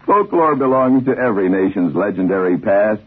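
An American man hosting a 1950s radio show, telling scripted humorous tales in a husky voice. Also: on the low side, animated, moderate pace. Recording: muffled narrow-band 1950s radio recording.

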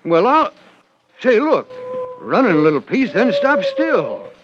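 An excitable country fellow. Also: male voice, sits high, a little slow. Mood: excited. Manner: folksy, excitable rural speech. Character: excitable rural man, kindly toward animals. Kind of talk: 1940s radio acting. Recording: noisy, dull old radio transcription.

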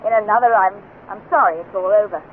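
A woman's voice: medium pitch, fast, phone-quality sound, close to an everyday voice. An actress playing an earnest young woman crew member in a 1950s radio space serial. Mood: wistful. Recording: thin, faintly noisy old radio recording.

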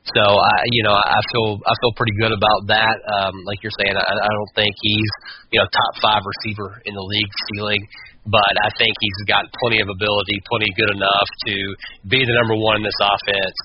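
Man, muffled recording with clear noise, lowish voice, casual sports analysis, halting delivery, podcast host. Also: level tone. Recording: muffled, clearly noisy, radio-like audio.

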